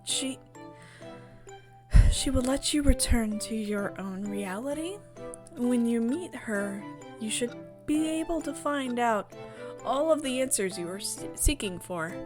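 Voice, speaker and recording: Woman playing gentle queen, cryptic, hesitant, dreamlike game character, music behind. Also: a little high, expressive, a little slow, pauses often. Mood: gentle, evasive.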